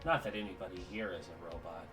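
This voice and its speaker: A man narrating as game master for a tabletop roleplaying game, relaxed and laughing often. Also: low voice, fast talker, soft background music.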